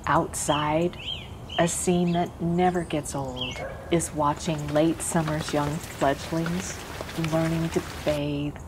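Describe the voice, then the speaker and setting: A woman artist calmly reading a scripted documentary narration about her drawing, in a measured, reflective way. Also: low-pitched, background noise. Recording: voice-over with loud background music.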